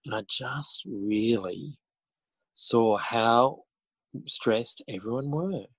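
A man with an Australian accent answering interview questions conversationally, reflective and often halting to rephrase as he tells his story. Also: medium pitch, slightly rough, no echo, poor audio. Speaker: interview guest, author and teacher.

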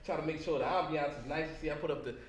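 Man acting cocky young boyfriend, high animated voice, slangy cajoling, stage play, echoey noisy theater. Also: rapid-fire, a crowd in the background, close to an everyday voice. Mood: sweet-talking. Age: young adult. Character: cocky, sweet-talking, demanding young boyfriend, excitable football fan. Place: indoor theater stage living-room set.

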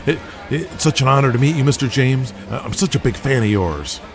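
A man's voice: mid-range voice, animated, fairly quick, voice pushed up, natural voice. A man playing a shy young wrestling fan in an audio drama. He is polite, starstruck and stammers hesitantly, with music behind.

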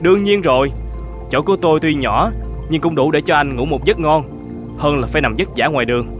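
An actor playing a kind, humble older shepherd in an animated folk tale, speaking politely and gently. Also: male voice, fairly high pitch, brisk, music in the background, close to an everyday voice. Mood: kind, gentle. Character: kind, humble older shepherd, hospitable, fearful under threat.

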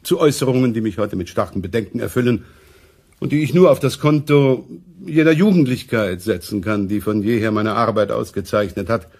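Pompous, self-important professor. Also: male voice, fairly low pitch, darkish tone, husky. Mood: grave, self-important. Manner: pompous, oratorical, deliberate phrasing. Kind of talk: German radio play acting.

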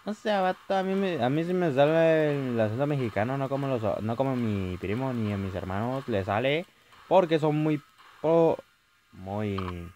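A young man with a Chilean accent commentating a horror game, yelling at jump scares and rambling between them. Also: mid-range voice, expressive, moderate pace, a little hiss or hum. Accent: Chilean Spanish. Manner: jumpy, shouting at scares, rambling asides. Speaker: gaming youtuber. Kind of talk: let's play commentary.

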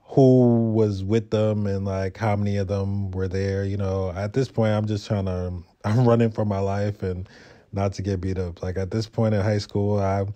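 A young man tells a story in a vlog. His voice sits fairly low and has a dark, mellow tone. He talks casually and loosely, in laid-back street slang. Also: flat delivery, moderate pace. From Brooklyn, New York. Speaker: motovlogger.

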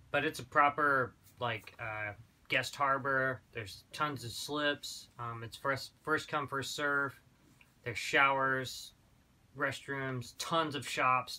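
Guy in his 30s chatting on his sailboat, real bright voice, loose and rambly, little bit of hiss. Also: medium pitch. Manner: relaxed, rambling with many fillers and restarts. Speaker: sailing vlogger. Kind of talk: casual travel vlog. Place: small cabin of a sailboat.

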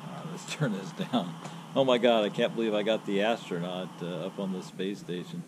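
A man's voice: low-pitched, steady tone, moderate pace. A middle-aged man doing amateur radio callouts and casual chat to camera outside his house. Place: outside a house on a residential street. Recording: noisy outdoor recording with radio hiss.

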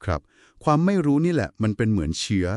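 A man's voice: on the low side, sing-song, fast talker, a little mellow, no room sound, studio quality. A man giving a polite, didactic explanation in a conversational discussion of Buddhist scripture. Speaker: explainer in a two-person discussion.

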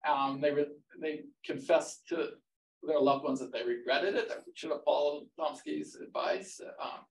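Halting man in an echoey conference room. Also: fairly high pitch. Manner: halting, many fillers and restarts. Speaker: book author at a roundtable. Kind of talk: academic discussion. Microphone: far room mic. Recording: echoey room, distant mic, faint noise.

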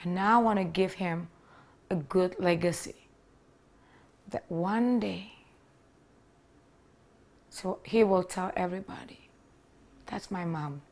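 A woman's voice: fairly low pitch, slow, pauses often, darkish tone. A woman in her thirties from Indonesia with an Indonesian accent, earnestly telling her personal story with small grammar slips, seated in a living room on a lapel mic. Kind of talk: personal testimony to camera. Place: living room with leather sofa, indoors.

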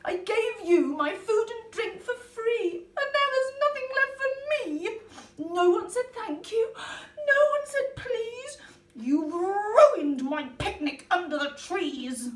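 A woman voicing a kind young girl whose picnic is ruined, pleading and high when upset. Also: sing-song, slow pace, fairly bright tone, exaggerated voice. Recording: roomy, distant mic.

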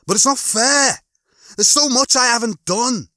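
A man's voice: extremely high pitch, a little slow, bright, forceful tone, no echo, clean studio sound, voice pushed up, full character voice. A man voicing an aggrieved, sulky working-class bloke, blunt and complaining, in a story reading. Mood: upset, indignant.

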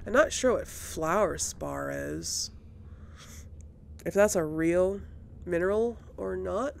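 A woman's voice: on the low side, slow pace, creaky, darkish tone, a little hiss or hum. A woman doing casual let's play commentary as a gaming host, musing and thinking aloud.

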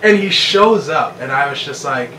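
A man's voice: fairly high pitch, animated, moderate pace, sharp tone. American man chatting in an echoey room. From United States. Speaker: vlogger telling a relationship story with his partner. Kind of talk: casual chat to camera. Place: small bedroom indoors. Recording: roomy echo, distant mic, noisy background.

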